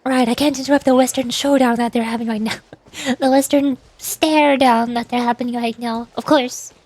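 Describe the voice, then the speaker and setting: High-pitched playful woman streamer. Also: fairly even intonation, moderate pace, a little mellow. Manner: playful, chatty, with silly sound effects. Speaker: streamer playing a video game. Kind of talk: casual livestream game commentary. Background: game music.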